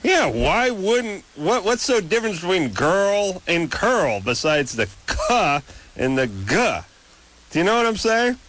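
Jokey, animated male radio host. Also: unhurried, poor audio. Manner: jokey, self-mocking, lively rises in pitch. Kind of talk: casual joking radio banter.

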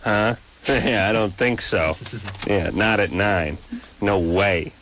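A man's voice: slow pace, slight background noise. A man hosting a radio call-in show, joking and teasing in casual banter. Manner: jokey, self-mocking, lively rises in pitch.

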